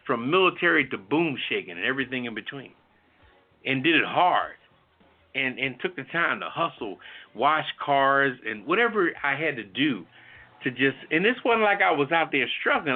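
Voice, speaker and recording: A middle-aged man giving an opinionated, informal talk show monologue, blunt and profane, repeating himself for emphasis. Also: medium pitch. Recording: thin phone-like audio.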